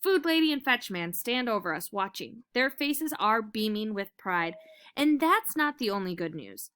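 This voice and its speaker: Very animated woman reading a story aloud. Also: a little high, moderate pace, smooth. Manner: dramatic, expressive storytelling. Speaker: teacher reading aloud to students. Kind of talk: expressive children's book read-aloud.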